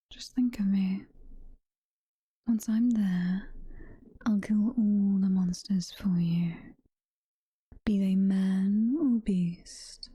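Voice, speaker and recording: A woman's voice: medium pitch, warm tone, slightly rough, no room sound, studio quality, heavily acted. A British woman playing a doting, possessive demon girlfriend in an intimate ASMR roleplay, whispering and cooing in a motherly way. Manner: whispered, slow, intimate cooing with pet names. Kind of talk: soft-spoken ASMR roleplay.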